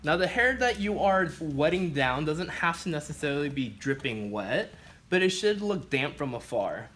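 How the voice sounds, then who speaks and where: A man gives instruction in a clear step-by-step demonstration style. His voice sits a little higher than average and has a bright, forceful edge. There is faint background noise in the recording. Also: very animated, normal speed. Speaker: barbering instructor.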